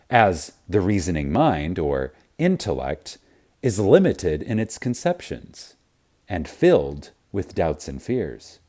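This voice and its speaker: A man reading a spiritual audiobook reverently and emphatically, with deliberate dramatic pauses. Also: low-pitched, dark tone. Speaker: audiobook narrator.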